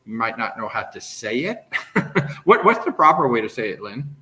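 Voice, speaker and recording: A man's voice: medium pitch, very animated, normal speed, sharp tone. An American man hosting a live travel webinar, enthusiastic, laughing often and greeting viewers by name. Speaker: travel agent host.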